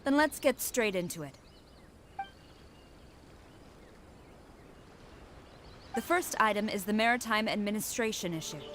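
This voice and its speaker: A woman's voice: mid-range voice, animated, a bit bright, a little hiss or hum, natural voice. A woman playing a proud, businesslike female commander in a video game, with a formal, official way of speaking. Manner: brisk, formal, official phrasing. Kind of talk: scripted video game dialogue. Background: game music.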